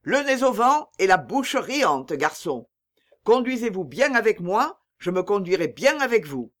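A woman's voice: mid-range voice, big pitch swings, unhurried, pitched above the performer's own voice. A woman voicing a callous, bossy male slave trader in an audiobook, curt and commanding with a false joviality. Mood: falsely jovial.

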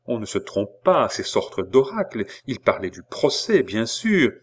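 A man's voice: mid-range voice, sing-song, measured pace, slightly rough. A man voicing an anxious, proud captive woman in an audiobook, tense and pleading.

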